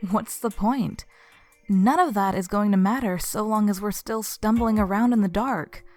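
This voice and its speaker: A woman's voice: medium pitch, a little slow, darkish tone, faint music behind, natural voice. A woman playing a doubtful, frustrated lieutenant in a fan-made anime audio drama, speaking respectfully but hesitantly. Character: frustrated, doubtful female lieutenant reporting to her commander.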